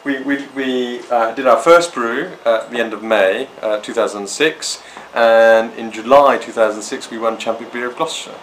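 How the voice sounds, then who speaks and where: A man's voice: medium pitch, moderate pace, few pauses, a bit bright, a little hiss or hum, rough recording. A man who co-founded a brewery, proudly telling its stories on a tour. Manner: proud, storytelling. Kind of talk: casual chat on a tour. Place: small indoor brewery.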